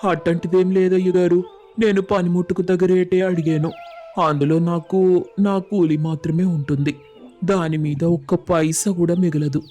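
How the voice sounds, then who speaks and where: A man voicing a poor, honest village blacksmith in an animated moral story, speaking in an earnest, deferential, rustic way. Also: high voice, normal speed, lightly acted. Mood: pleading, distressed. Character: poor, honest, humble village blacksmith, pleading and tender husband. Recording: music under the voice.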